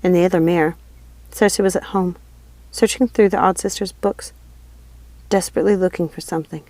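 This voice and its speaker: A woman's voice: lowish voice, fairly even intonation, fairly quick, a little mellow, no room sound, rough recording. A woman narrating a fantasy storybook as an audiobook reader.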